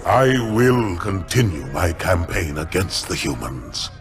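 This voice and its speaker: An actor playing a proud, solemn alien warrior commander in a game, speaking formally and gravely with measured diction. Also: male voice, low voice, very animated, over loud music, exaggerated voice. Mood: defensive, proud.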